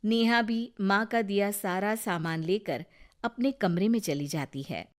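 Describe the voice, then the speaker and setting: A woman's voice: medium pitch, measured pace. A woman narrating a scripted moral story as the storyteller.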